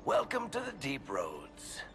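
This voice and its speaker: A man's voice: mid-range voice, wildly swooping pitch, takes it slowly, full character voice. A man playing a boastful, sardonic dwarf storyteller in a fantasy video game. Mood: wry, joking. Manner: wry, sardonic. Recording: music behind the voice.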